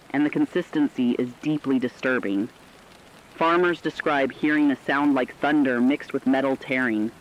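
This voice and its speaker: A man playing a somber radio correspondent, reading a scripted disaster report in a serious, deliberate, ominous way. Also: medium pitch, muffled recording, close to an everyday voice. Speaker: news correspondent.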